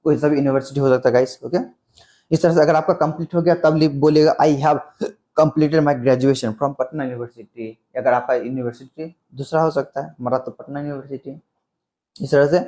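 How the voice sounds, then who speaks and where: Mellow-voiced man teaching step by step. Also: medium pitch, steady tone, moderate pace, poor audio. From Bihar, India. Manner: repetitive, step-by-step explaining with example phrases. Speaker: English teacher. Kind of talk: spoken English lesson explained in simple Hindi.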